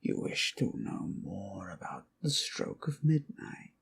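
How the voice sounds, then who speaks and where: A man voicing a courteous, rambling undead lich healer and storyteller in an ASMR fantasy roleplay, unhurried, musing and formally polite, with a gravelly, sometimes breathy voice. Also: on the low side, big pitch swings, fairly bright tone, exaggerated voice. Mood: inquisitive, inviting.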